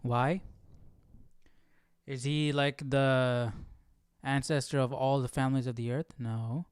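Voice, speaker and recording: A man's voice: mid-range voice, steady tone, takes it slowly, clean tone, no echo. A man hosting a live Bible study, casually reading aloud and questioning what he reads as he thinks it through. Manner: questioning, musing to himself.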